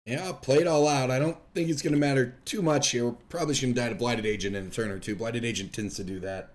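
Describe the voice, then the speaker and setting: A man's voice: mid-range voice, steady tone, fast. A young man hosting casual gameplay commentary while losing his voice from being sick, chatty and self-deprecating. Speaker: host.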